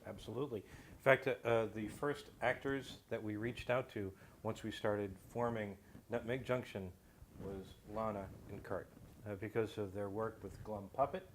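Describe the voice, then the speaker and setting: A man speaking as an interview guest, explaining in measured, somewhat formal phrases with some hesitation. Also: lowish voice, fairly even intonation. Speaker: guest, audio drama creator. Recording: faint background noise.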